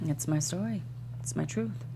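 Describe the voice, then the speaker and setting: A very low, creaky female voice from an actress plays a celebrated talk show host in a script read. She is weary yet warm and self-assured. There is a faint hum behind her. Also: normal speed. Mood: playful, firm. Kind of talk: table read of a screenplay.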